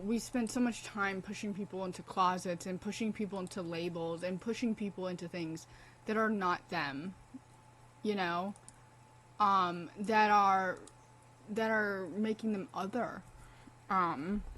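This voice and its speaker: A young woman talks over her gameplay in a loose, offhand way, blunt and quick to swear, growing impassioned when something matters to her. Her voice sits at a middle pitch, neither high nor low. A faint hiss lingers under the recording. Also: poor audio. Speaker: game streamer. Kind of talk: casual gameplay commentary.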